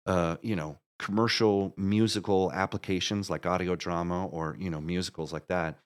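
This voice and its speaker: Male podcast interviewee. Lower register, earnest conversational delivery, halting phrasing with emphatic repeats. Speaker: podcast guest, musical creator.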